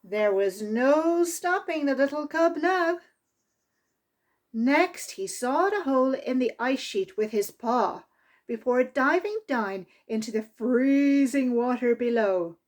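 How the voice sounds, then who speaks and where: A woman reading a picture book aloud to young children in an animated, expressive storytime manner. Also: high voice, slow pace. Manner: expressive, with exclaimed sound effects and asides to listeners. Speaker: library storytime reader.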